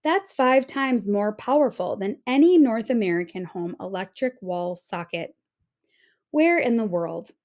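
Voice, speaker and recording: Woman, lively pitch swings, teacher-style read-aloud, expressive informational narration, emphasized questions. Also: unhurried. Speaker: teacher reading aloud to children.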